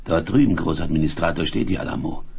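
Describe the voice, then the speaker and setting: Gravelly, steady father. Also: low voice, fast, full character voice. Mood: grave, explaining. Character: steady, plain-spoken father, a watchful rebel. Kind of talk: 1970s German radio play acting.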